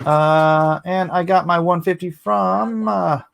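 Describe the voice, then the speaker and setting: A man's voice: high-pitched, slow, creaky, noisy background. A man hosting a casual live online art auction, chatting and reading out comments in a playful, hammy way. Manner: playful, theatrical, hammy countdowns.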